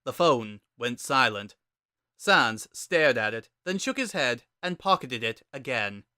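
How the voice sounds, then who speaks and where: A man's voice: medium pitch, expressive, a little slow, sharp tone, clean tone, no room sound, clean studio sound. A man reading a scripted story aloud as its narrator.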